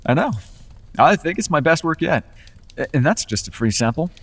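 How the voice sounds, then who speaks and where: A man's voice: on the high side, big pitch swings, moderate pace, long reverb tail, slight background noise. A man voicing a charming, persuasive male Fae who makes deals, with playful, coaxing salesman patter in an ASMR roleplay.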